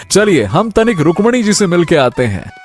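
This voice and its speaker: An exuberant high-voiced jubilant king. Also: sing-song, normal speed, faint music behind. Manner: exuberant, effusive, frequent laughter. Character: jubilant, generous king and proud expectant father. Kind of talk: Hindi cartoon story acting.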